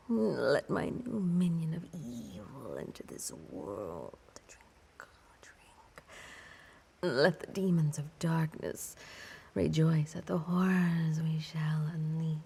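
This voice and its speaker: Vampire mistress , played by a woman: unhurried, deep female voice, whispery, commanding, possessive, theatrical menace for audio roleplay. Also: warm tone, full character voice. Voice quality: breathy, often whispered, creaky. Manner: slow, theatrical, imperious. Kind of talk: breathy audio roleplay monologue to listener.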